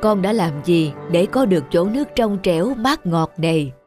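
An actor voicing a kindly, wise old master in a cartoon, speaking in a measured, patient, instructive way. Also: male voice, high voice, lively intonation, darkish tone, soft background music. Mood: calm, gentle.

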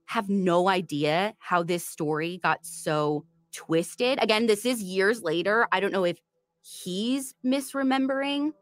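A woman's voice: medium pitch, takes it slowly, no room sound. A woman hosting a true crime podcast, retelling a case in a chatty, storytelling way. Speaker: podcast host.

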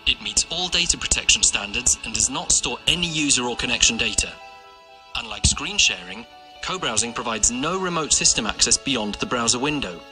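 A man's voice: mid-range voice, animated, a little fast, bright, forceful tone. A man reading a scripted corporate explainer video, polished and emphatic, as a promotional narrator. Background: music.

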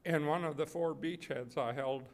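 A man's voice: a little high, steady tone, normal speed. An older man reading wartime letters aloud at a podium microphone in an indoor gallery. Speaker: readers theater reader.

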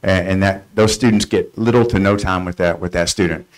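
A man's voice: sits low, steady tone, fairly quick. A middle-aged man answering questions informally as a presenter for a student mentoring program. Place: school board meeting room.

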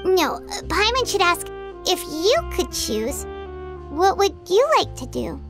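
A very high, squeaky childlike fairy sidekick from a video game. The delivery is cheerful, curious and expressive, with a very lively pitch that swings up and down over background music. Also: female voice, slow pace, exaggerated voice. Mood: musing. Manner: refers to herself in third person, expressive.

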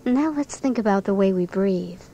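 A woman narrating a guided bedtime relaxation for children in a gentle, soothing, unhurried manner. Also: mid-range voice, expressive, highs cut off. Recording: clearly noisy recording with background ambience.

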